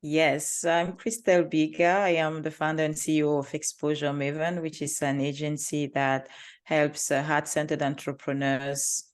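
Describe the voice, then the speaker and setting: A woman's voice: sits low, flat delivery, moderate pace. A woman from Cameroon with a Cameroonian accent, telling her story as a podcast guest in an upbeat way. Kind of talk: conversational interview.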